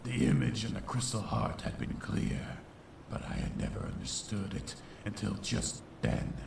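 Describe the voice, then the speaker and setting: A man voicing a brooding, vengeful shadow pony villain in a comic dub, with a gravelly voice and a theatrical delivery. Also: fairly low pitch, fairly even intonation, normal speed. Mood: grim, dramatic. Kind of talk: dramatic comic dub narration and dialogue. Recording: roomy, distant, faintly noisy home recording.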